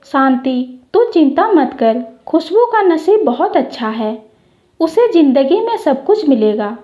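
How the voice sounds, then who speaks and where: A reassuring lady of the house, played by a woman storyteller. Her voice is high and expressive, her manner gentle and earnest, as in a moral story. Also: slow pace, dull audio, heavily acted. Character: kind, reassuring middle-class mother and employer.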